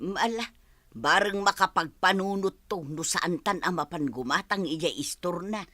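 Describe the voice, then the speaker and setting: A woman's voice: fairly low pitch, moderate pace, bright, forceful tone, no echo. A woman playing a poor, humble mother who is behind on her debts. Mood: gossiping. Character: poor middle-aged mother in debt, humble.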